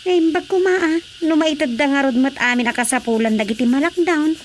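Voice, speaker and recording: A high-voiced woman playing a scolding village wife in a radio comedy drama. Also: brisk, a little hiss or hum, close to an everyday voice. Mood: grumbling. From Philippines.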